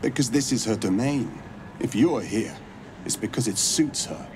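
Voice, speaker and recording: A man playing a courteous, grave monster hunter in a video game, speaking in measured, formal, storytelling phrases. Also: mid-range voice, noisy background, close to an everyday voice. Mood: wry, measured. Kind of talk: dramatic video game acting.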